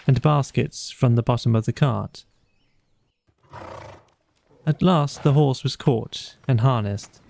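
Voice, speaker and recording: Man narrating a bedtime story, slightly creaky. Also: mid-range voice, darkish tone. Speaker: audiobook narrator.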